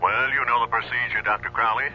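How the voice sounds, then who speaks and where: An actor playing an apologetic senior doctor in a radio drama, hesitant and measured. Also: male voice, mid-range voice, steady tone, a little hiss or hum, lightly acted. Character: senior male doctor, apologetic, reserved boss. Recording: muffled radio recording, faint music.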